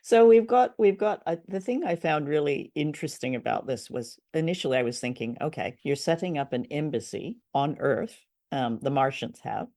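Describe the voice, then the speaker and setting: A woman's voice: low voice, expressive, normal speed, few pauses, dark tone. A woman co-hosting a podcast in casual chat, with a wry, teasing manner. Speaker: podcast co-host.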